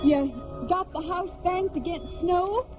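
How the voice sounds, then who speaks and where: A woman's voice: very high voice, takes it slowly, music in the background. An actress playing an earnest, steadfast young farm woman in a 1940s radio drama. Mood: brisk. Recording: dull 1940s radio broadcast.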